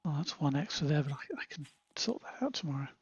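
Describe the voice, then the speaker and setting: A man voicing a confident jungle man who thinks of himself as British, with a British accent and a husky voice, talking in a chatty, understated way and muttering asides as he plays a game. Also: a little high, big pitch swings, quick pace, muffled recording, a little hiss or hum. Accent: British English. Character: confident jungle man Tarzan turned proper British gent. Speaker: gameplay commentator in character. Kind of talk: in-character gameplay narration.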